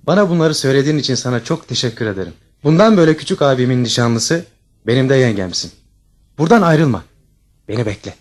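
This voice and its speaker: A man plays a young fairy-tale prince. He is courteous and brave, speaking quickly at a medium pitch with earnest resolve. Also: animated, lightly acted. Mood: grateful. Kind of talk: Turkish fairy-tale audio drama.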